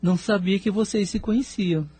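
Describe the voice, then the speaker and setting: A man's voice: high-pitched, fairly even intonation, moderate pace, warm tone, a little hiss or hum, close to an everyday voice. A man with a Brazilian accent playing a courteous, thoughtful spirit companion in an audio drama. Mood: mildly surprised.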